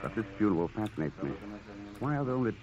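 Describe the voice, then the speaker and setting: An actor playing a suave, persistent investigator with clipped, probing questions in a 1940s radio drama. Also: male voice, on the low side, big pitch swings, fast, crowd noise. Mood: suspicious. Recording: muffled noisy old radio recording.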